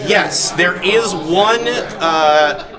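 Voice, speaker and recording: A man hosting and game-mastering a live tabletop stream, narrating off the cuff in a halting, animated way. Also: high-pitched. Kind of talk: improvised game narration and casual hosting talk. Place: busy convention hall, live on stage. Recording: loud convention crowd around the voice.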